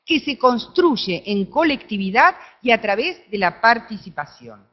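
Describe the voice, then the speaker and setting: A woman with an Argentine accent giving an academic talk at a conference, often checking in with her audience through quick tag questions. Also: on the high side, dull audio. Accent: Argentine Spanish. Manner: animated, with frequent tag questions. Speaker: conference speaker. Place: conference room.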